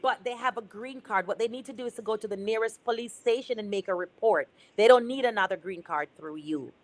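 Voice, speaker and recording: A woman's voice: mid-range voice, brisk, dry recording. A woman giving immigration advice on a live call-in show, direct and encouraging. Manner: warm, emphatic, encouraging.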